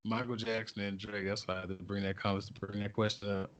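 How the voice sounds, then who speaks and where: A man's voice: fairly low pitch, little pitch movement, fast, highs cut off, slight background noise. A man co-hosting a casual podcast chat in a teasing, playful way. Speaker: podcast co-host.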